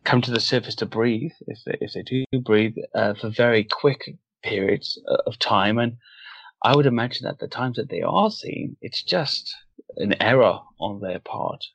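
A man who grew up in Wales, without a Welsh accent, talking as a guest in a casual interview and pausing thoughtfully to find his words. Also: mid-range voice, expressive, vocal fry, breathy edge, no echo. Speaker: guest author. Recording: dull, dry lo-fi audio.

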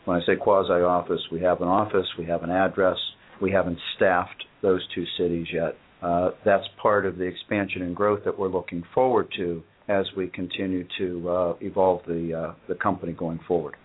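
A man who runs a company, answering interview questions in a measured, matter-of-fact way with frequent pauses on "uh". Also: low-pitched, little pitch movement. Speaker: company owner and guest. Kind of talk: business interview. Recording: tinny phone-like audio.

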